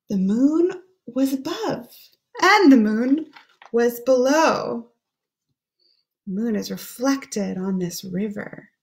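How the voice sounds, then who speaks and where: Slow, high-pitched woman reading a picture book. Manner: gentle, explaining pictures between read lines. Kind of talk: children's picture book read-aloud. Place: small room at home.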